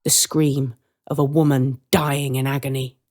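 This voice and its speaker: A woman's voice: very deep voice, level tone, unhurried, shouting, very noisy. A woman reading a scripted story as a dramatic narrator.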